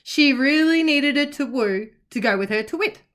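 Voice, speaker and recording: A woman reads a children's picture book aloud. Her voice is high and very animated, and she phrases the story carefully and expressively for young listeners. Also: normal speed.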